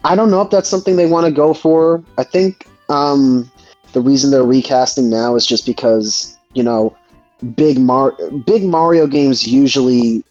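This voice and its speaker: Male discussion guest, medium pitch, halting casual delivery, muffled lo-fi sound. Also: darkish tone. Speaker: guest in a gaming discussion, aspiring voice actor. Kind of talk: casual chat.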